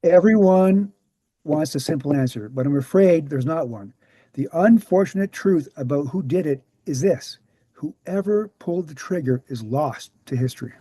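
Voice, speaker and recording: A man playing an earnest, weary veteran researcher explaining things in a measured way at a screenplay table read. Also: a little high, lively intonation, dark tone, husky, dry recording. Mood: resigned.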